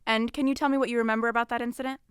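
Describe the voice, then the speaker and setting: A woman's voice: a little high, little pitch movement, rapid-fire, clear, resonant tone, no echo, natural voice. A woman playing a wry, weary police detective in an audio drama, questioning in a dry, probing manner. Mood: probing, firm.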